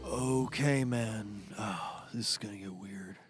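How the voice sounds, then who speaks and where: A man's voice: fairly low pitch, takes it slowly, soft background music. A man playing a foggy, drugged, mystical fellow in a scripted audio comedy, hesitant and rambling in a dreamy way. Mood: foggy, awed.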